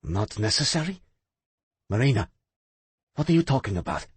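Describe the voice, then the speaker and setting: A smooth, menacing older uncle, as a male narrator puts him on,. His voice is low and deeply raspy, coaxing with a cold edge. Dry, close sound. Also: very animated, normal speed, no echo, heavily acted.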